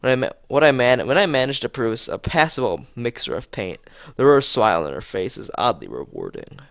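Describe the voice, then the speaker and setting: A man streaming a visual novel, reading its script aloud haltingly, stumbling and repeating phrases, with casual asides. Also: medium pitch, sing-song, vocal fry, fairly bright tone, no room sound, studio quality.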